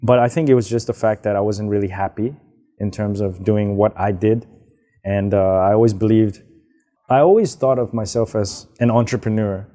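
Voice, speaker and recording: A young man from Hong Kong, answering interview questions in a relaxed, rambling way with frequent fillers. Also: on the low side, moderate pace. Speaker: guest, business founder. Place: outdoors, karaoke nearby.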